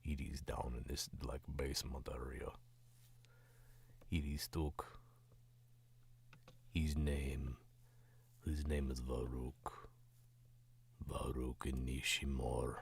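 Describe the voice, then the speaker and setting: A man's voice: rumbling low, little pitch movement, slow pace, gravelly, pauses often, slightly rough. A sick man from the Southern US with a Southern accent, chatting casually and rambling on a stream as a voice actor. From Southern United States. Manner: rambling and distracted, chuckling often. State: sick, voice dropped lower.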